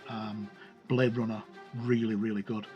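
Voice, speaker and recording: A man's voice: fairly low pitch, little pitch movement, unhurried, highs cut off, faint music behind. A man hosting a game review, talking casually and unscripted, rambling and hesitant as he pages through a book. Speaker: host of a tabletop game review channel.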